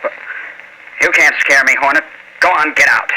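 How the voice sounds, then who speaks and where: A man's voice: fairly high pitch, steady tone, moderate pace, bright, forceful tone. A man playing a hot-tempered, cornered young soldier impostor in an old-time radio drama, speaking in curt, defiant lines. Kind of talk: 1940s radio acting. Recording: noisy old radio recording.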